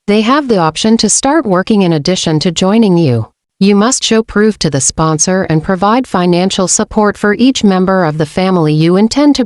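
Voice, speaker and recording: Female host, lowish voice, steady scripted read, dry close mic. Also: no room sound. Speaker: channel host. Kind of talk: scripted informational narration.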